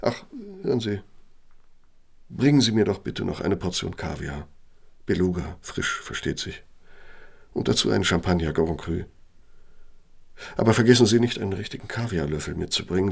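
An actor playing a self-important ageing German architect who dictates in a pompous, deliberate, rhetorical manner, as in a radio play. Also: male voice, deep voice, vocal fry, breathy edge, full character voice. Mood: haughty, demanding.

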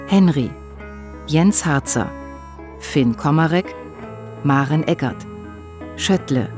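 A woman's voice: low-pitched, a little mellow. A woman reading scripted radio drama credits as an announcer.

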